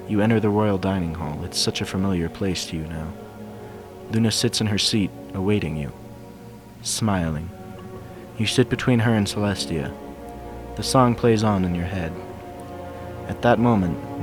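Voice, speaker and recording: A man reading fan fiction aloud as scripted narration, with music behind him. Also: low voice. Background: background music.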